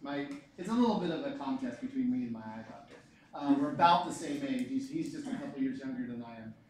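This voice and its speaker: A man in his forties teaching a physics class, lively and joking, repeating his key points. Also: medium pitch, fast. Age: 40s. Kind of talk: classroom lecture. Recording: echoey room, mic far off.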